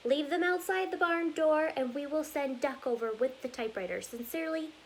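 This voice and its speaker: A woman voicing a herd of cows writing polite but demanding letters, read slowly and primly. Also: sits high, a little hiss or hum, voice pushed up. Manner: slow, prim letter-reading.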